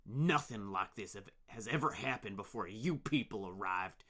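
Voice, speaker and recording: A man voicing an angry, bossy adult team leader who shouts accusations at workers. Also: on the low side, expressive, moderate pace. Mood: suspicious.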